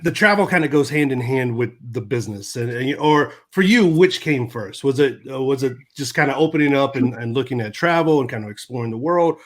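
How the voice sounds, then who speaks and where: Male interview host, average pitch, rambling questions, casual jokes, video-call sound. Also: expressive, fairly quick, hardly any pauses. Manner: rambling questions, many uhs, joking. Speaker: podcast host.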